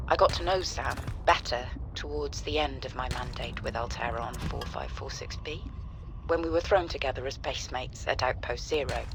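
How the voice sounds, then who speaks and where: An actress playing a sincere, sober colleague, speaking formally and with measured care in a video game message. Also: female voice, extremely low pitch, noisy background. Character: sincere, sober woman colleague offering condolences.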